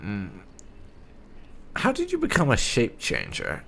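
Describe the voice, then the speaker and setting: A man's voice: medium pitch, huge pitch swings, slow pace, gravelly, bright, forceful tone, slight background noise. A man giving casual game playthrough commentary with light roleplay, musing aloud and reacting as the host. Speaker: gaming channel host.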